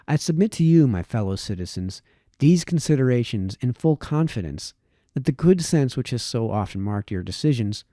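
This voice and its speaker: Mellow-voiced man reading aloud, dry sound. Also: mid-range voice, expressive, normal speed, dry recording. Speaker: reader, host of a reading series. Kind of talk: reading a historical political essay aloud.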